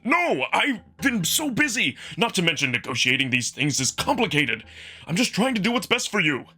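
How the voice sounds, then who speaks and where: A man voicing a proud, earnest wolf alien in a visual novel drama, formal and emphatic, quick to defend himself. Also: high voice, big pitch swings, sharp tone, slightly rough, pitched above the performer's own voice, full character voice. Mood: exasperated, defensive.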